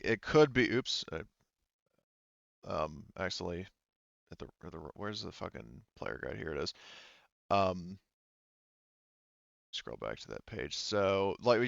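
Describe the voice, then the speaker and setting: Low, smooth-voiced male game master. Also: fairly bright tone, dry recording, highs cut off. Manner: deliberating aloud, explanatory. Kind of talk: casual rules talk in a tabletop roleplaying game.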